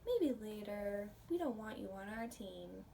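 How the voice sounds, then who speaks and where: A woman's voice: mid-range voice, lively intonation, unhurried, vocal fry, mellow, voice pushed down. A woman reading the part of a dismissive child turning a playmate away in a children's storybook. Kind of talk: children's storybook read-aloud. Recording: distant mic with faint background noise.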